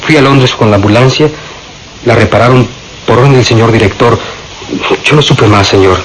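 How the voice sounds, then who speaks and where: A man acts as a humble ambulance driver in a radio drama. His mid-pitched voice answers questions with deference, over slight recording noise. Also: normal speed, natural voice, rough recording. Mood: deferential.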